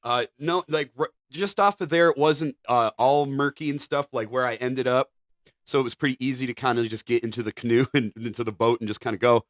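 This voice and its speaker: A man's voice: mid-range voice, steady tone, fairly quick, dry recording, studio quality. A man co-hosting a podcast, telling a story casually with restarts and casual swearing. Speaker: podcast co-host.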